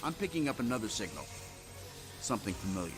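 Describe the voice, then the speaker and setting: A man's voice: on the low side, big pitch swings, fast, over loud music. An actor playing a cocky, witty male genius inventor who makes wry, joking quips.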